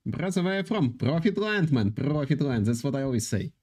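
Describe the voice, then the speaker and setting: A man in his thirties hosting a live slots stream from an indoor room, talking loosely to his chat in halting, repetitive English. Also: a little high, sing-song, darkish tone. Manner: reads and answers chat, loose non-native English with repetitions.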